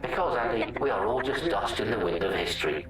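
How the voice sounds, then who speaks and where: A rogue artificial intelligence, voiced by an actor speaks from a distance inside a deep, ringing echo. It delivers bleak nihilism in a blunt, matter-of-fact deadpan, as part of a satirical radio show. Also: a little fast, over music, lightly acted. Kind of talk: scripted satirical radio comedy. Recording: very echoey, distant synthetic voice.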